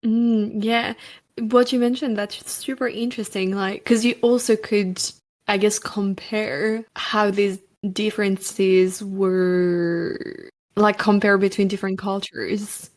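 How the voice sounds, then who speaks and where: A woman hosting a podcast interview, friendly and upbeat, reacting with quick short affirmations. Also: mid-range voice, steady tone. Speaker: podcast host. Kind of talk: casual interview conversation.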